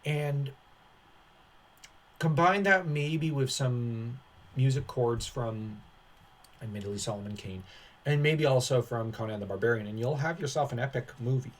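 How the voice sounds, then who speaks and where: A man hosting a commentary channel, talking casually and haltingly, with a dismissive, sarcastic streak. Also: mid-range voice.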